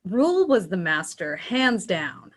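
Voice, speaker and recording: An opinionated lawyer in her fifties, read by a woman in a screenplay drama. Her voice is of middle pitch and argues with conviction. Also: sing-song, a little slow. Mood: insistent. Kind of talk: screenplay table read acting.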